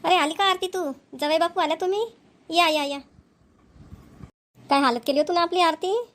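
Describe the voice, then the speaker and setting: A woman's voice: very high voice, normal speed. A woman voicing a doting, grumbling older mother-in-law in a family cartoon, with a folksy Vidarbha Marathi accent. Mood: welcoming, fussing. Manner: complaining, folksy, repetitive. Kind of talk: family comedy cartoon dialogue.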